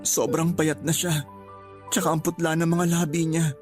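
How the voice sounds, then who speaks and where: A sorrowful father played by a man speaks in a subdued way in a radio drama. Music plays clearly behind his voice. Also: a little high, level tone, normal speed, close to an everyday voice.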